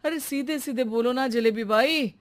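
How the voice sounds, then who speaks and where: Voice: high-pitched, moderate pace, close to an everyday voice. A performer playing an exasperated householder, comically baffled by a muddled maid, in a comic story.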